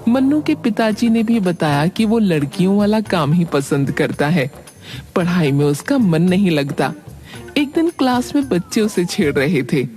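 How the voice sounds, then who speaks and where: A woman's voice: on the low side, expressive, unhurried, dark tone, faint music behind. A woman reading scripted narration for a cartoon story.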